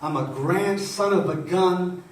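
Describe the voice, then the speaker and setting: A man's voice: sits high, unhurried. A middle-aged man performing spoken word poetry live at a gathering. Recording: very echoey, distant, faint noise.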